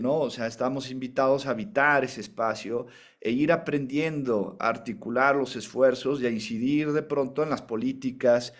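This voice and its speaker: A man, a Jesuit priest, talks in a loose, conversational way over a video call. He leans on fillers and checking tag questions as he wraps up his remarks. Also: mid-range voice. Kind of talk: casual remarks in a webinar.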